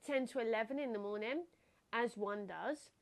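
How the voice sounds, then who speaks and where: A young woman talks alone into a podcast mic, her voice pitched a little high and a bit nasal, as if stuffed up. She muses casually and reflectively and breaks into laughter easily. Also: normal speed. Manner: chatty, reflective, laughs often. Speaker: podcast host. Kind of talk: casual solo podcast talk.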